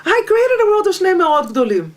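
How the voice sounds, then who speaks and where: A woman, a Hebrew speaker, teaching a Torah lesson with a lively, self-mocking manner and frequent laughter. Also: extremely high pitch, normal speed. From Israel. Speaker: guest teacher. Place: home library. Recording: distant mic.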